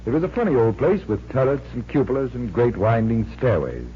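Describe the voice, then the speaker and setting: An actor in a 1940s radio mystery playing a genial, self-assured and skeptical gentleman magician detective. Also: medium pitch, sing-song, fairly quick, close to an everyday voice. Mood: genial storytelling. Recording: dull, noisy 1940s radio recording.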